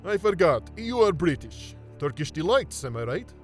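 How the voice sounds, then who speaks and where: A man acting in an audio drama as an earnest, devout scholar, speaking deliberately and with dramatic emphasis. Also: a little high, sing-song, faint music behind. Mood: genial. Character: earnest Middle Eastern man, devout scholarly friend and host.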